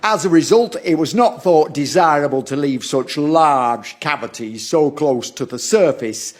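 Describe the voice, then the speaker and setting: Guy playing stuffy council official bloke, formal and condescending, voice a bit high, radio drama. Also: measured pace, natural voice. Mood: formal, officious. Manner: formal, pompous, stammers when challenged. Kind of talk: stage-like audio drama acting.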